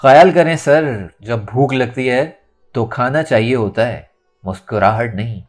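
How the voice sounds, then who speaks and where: A man's voice: mid-range voice, unhurried, lightly acted. A man voicing an angry, defiant young woman in a dramatic audiobook, with emphatic, indignant delivery. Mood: insistent. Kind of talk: dramatic audio novel reading. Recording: music under the voice.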